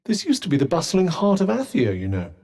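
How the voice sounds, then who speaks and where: Game companion, sentient magic cuff: British, pompous and articulate, dry sarcasm, highly animated pitch. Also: male voice, brisk, full character voice. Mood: erudite, explanatory. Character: sardonic, haughty talking magical cuff, erudite male companion. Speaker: voice actor. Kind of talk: video game companion dialogue.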